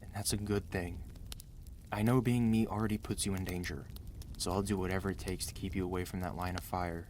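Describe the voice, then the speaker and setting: A male actor plays a mafia boss husband with a fairly low voice, devoted and protective. He talks close and intimately in an ASMR romance roleplay, earnest and measured, firm yet gentle with his beloved. A faint noise sits behind the voice. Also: steady tone, close to an everyday voice. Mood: firm, pleading.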